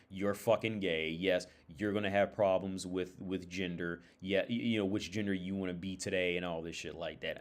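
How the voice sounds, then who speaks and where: A man with a lowish voice hosts a livestream on his own. He talks loosely and informally, as if thinking aloud. His speech is peppered with swearing, and he often repeats or restarts a phrase before moving on. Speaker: livestream host. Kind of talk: casual rambling livestream monologue with anecdotes.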